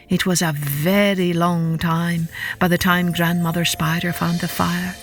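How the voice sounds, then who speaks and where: Slow-paced woman storyteller for children. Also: fairly low pitch. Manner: dramatic, deliberate, with repetition for effect. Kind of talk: expressive scripted storytelling for children.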